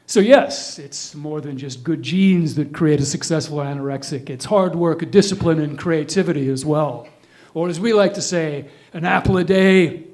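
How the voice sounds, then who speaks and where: A man's voice: fairly high pitch, a little mellow. A man performing a spoken-word monologue live, with a dramatic, darkly sardonic, ornately enunciated delivery.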